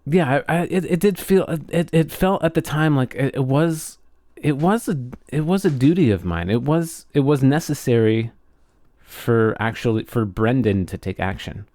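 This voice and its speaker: A man chatting casually and reflectively as a podcast co-host, often halting as he searches for words. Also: mid-range voice, expressive, darkish tone, no room sound.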